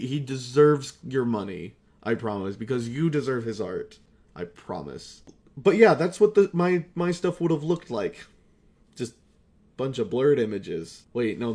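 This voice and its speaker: A young man with a medium-pitched voice rambles through a casual vlog. He swears freely and talks about himself in a self-deprecating way, like a creator looking back informally. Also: a little mellow. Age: young adult. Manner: foul-mouthed, self-deprecating. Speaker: video creator.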